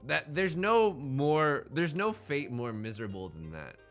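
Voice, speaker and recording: A man's voice: a little high, very animated, a little slow, fairly bright tone, soft background music. A man hosting a gaming let's play with casual, joking commentary, thinking aloud in a rambling, playfully dramatic and self-deprecating way. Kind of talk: casual running game commentary with joking banter.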